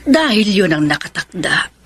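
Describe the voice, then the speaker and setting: An actress with a Filipino accent playing a pious older mother, measured and gently advising, in radio drama style. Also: fairly low pitch, big pitch swings, slightly rough, full character voice. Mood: solemn, reassuring.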